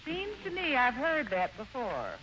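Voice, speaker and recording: Vintage radio sitcom wife and mother: sweet, patient, teasing, with a highish voice and lots of lilt. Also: sing-song, unhurried. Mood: amused, concerned. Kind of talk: 1940s radio comedy acting. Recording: dull, noisy old-time radio recording.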